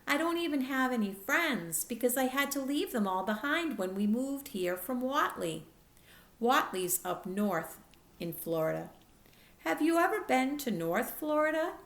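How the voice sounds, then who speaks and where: A woman's voice: high-pitched, very animated, normal speed, creaky, echoey room, voice pushed up, exaggerated voice. A woman voicing an earnest, lonely ten-year-old girl who confides and pleads emphatically in a storybook. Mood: confiding, lonely.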